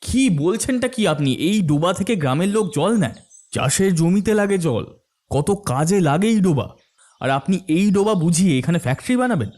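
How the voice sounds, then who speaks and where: A man's voice: sits high, expressive, normal speed, darkish tone. A man acting as an earnest young villager in a cartoon, challenging and indignant. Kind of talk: cartoon story dialogue.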